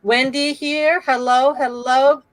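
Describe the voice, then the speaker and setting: A woman's voice: sits high, fairly even intonation, slow pace. Older woman hosting a live chat. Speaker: host. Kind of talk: casual chat.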